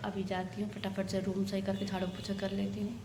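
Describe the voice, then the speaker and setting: A woman with a sore, hoarse throat talking to her phone camera in a home kitchen, chatty and hurried as she cooks. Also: medium pitch, completely flat delivery. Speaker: homemaker vlogger. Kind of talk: casual talk to camera while cooking. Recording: home phone video with clear background noise.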